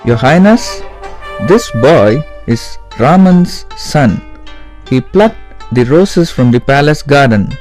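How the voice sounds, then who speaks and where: Officious cartoon guard, slow, emphatic, raised lively pitch, faint music. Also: male voice. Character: blunt palace guard and boastful magician.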